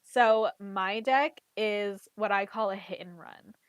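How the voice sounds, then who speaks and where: A woman's voice: medium pitch, slow, smooth, dry recording. Chatty congested woman, dry close sound. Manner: chatty, heavy use of "like" and "literally". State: congested, getting over a cold. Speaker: trading card game player and content creator. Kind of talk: casual chat.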